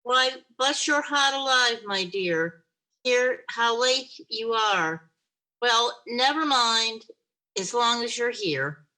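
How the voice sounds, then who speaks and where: Lady acting a tart victorian mom, bright forceful voice, takes her time, radio play style. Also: a little high, lively intonation, full character voice, poor audio. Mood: fond. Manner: emphatic, tart, deliberate. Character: sharp-tongued, loving working-class Victorian mother. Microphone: video call.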